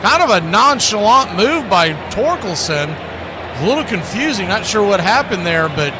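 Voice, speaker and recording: Higher-pitched man calling baseball over loud noise. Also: very animated, normal speed. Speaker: baseball play-by-play announcer. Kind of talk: live radio play-by-play commentary. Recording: loud background noise behind the voice.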